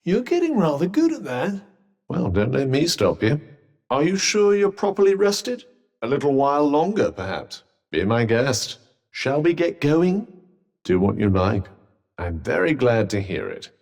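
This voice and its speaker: Cuff, a wry talking bracelet. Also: male voice, mid-range voice, wildly swooping pitch, a little slow, darkish tone, long reverb tail, heavily acted. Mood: dry teasing. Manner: dry teasing, arch formal phrasing. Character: sardonic male talking magic bracelet, wry and condescending. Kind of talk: video game voice lines.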